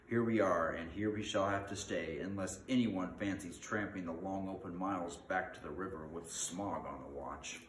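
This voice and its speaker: Man playing a stern dwarf chieftain, low, proud, commanding, storybook delivery, roomy echo. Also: normal speed, few pauses, close to an everyday voice. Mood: grim. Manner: commanding, dignified. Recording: echoey room, faint background noise.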